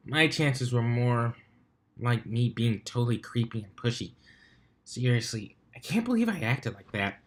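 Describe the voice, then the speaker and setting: A man's voice: mid-range voice, expressive, measured pace, close to an everyday voice. Man voicing an earnest, easygoing stallion flyer. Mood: sincere, sheepish. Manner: casual, sincere. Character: earnest, easygoing male pony stunt flyer.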